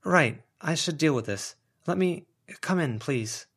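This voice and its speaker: A man voicing a guarded, weary young woman in an audiobook, who speaks haltingly and politely with some exasperation. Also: a little high, animated, a bit bright, slightly rough, pitched above the performer's own voice, heavily acted. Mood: flustered, hurried.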